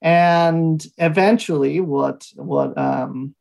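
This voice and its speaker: A man in his thirties from Mexico, speaking as an interview guest, telling his story in a relaxed way with frequent fillers and small laughs. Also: fairly high pitch, drawn-out words, vocal fry. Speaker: guest, language institute founder. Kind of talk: conversational interview answers.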